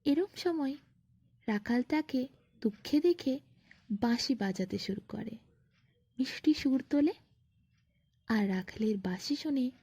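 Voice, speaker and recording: A woman narrating a scripted bedtime fairy tale as a storyteller, in an expressive, unhurried manner. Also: on the high side, husky, dry recording, highs cut off.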